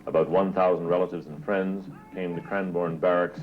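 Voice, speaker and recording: A man's voice: sits low, steady tone, moderate pace, narrow, tinny audio. A man reading a scripted news report as a reporter, on 1970s newsreel film sound.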